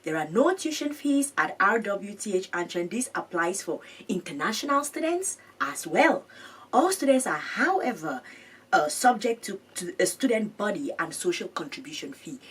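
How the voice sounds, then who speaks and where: A woman's voice: fairly low pitch, a bit bright, slightly rough, distant mic. A woman hosting an education channel, chatting through web pages on screen, repeating her points and laughing at her own pronunciation.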